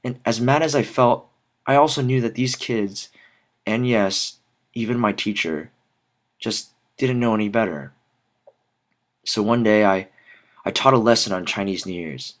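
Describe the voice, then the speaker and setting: Young american guy, kinda low voice, telling a personal story like a prepared speech, careful pauses. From Dallas, Texas, United States. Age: young adult. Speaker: student art teacher telling a personal story. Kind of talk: rehearsed spoken personal narrative.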